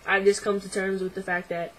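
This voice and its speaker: A young man talking hesitantly to camera in a casual video diary, his voice midway through deepening and a little unsettled, with many ums and likes. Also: level tone, a little fast, low-quality recording. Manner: hesitant, lots of ums and likes, quiet and reflective. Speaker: vlogger.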